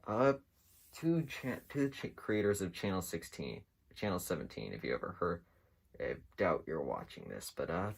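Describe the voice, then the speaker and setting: A man giving casual, unscripted commentary as a video host, rambling along with halting pauses. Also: deep voice, very animated, vocal fry, breathy edge. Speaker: host of an internet mystery series.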